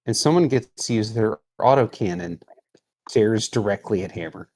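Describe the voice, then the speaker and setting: A man's voice: lowish voice, normal speed, a little mellow. A man talking casually as a player in a tabletop roleplaying game.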